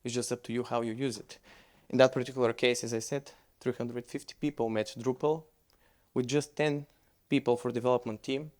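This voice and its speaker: Male presenter, English, relaxed, conversational, stumbles and restarts mid-sentence. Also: mid-range voice, fairly quick. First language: Bulgarian. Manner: loose, conversational, with frequent restarts. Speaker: conference speaker. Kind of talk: conference presentation with Q&A.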